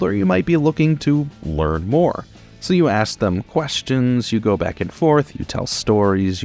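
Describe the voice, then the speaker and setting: A man's voice: on the low side, darkish tone. A man in his twenties giving a casual, unscripted explainer in an informal, conversational way, as a podcast producer guiding beginners. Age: 20s.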